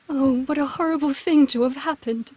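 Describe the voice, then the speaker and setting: An actress voicing a distressed young noblewoman, speaking softly and haltingly in a video game. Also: sits high, no room sound, lightly acted. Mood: distraught.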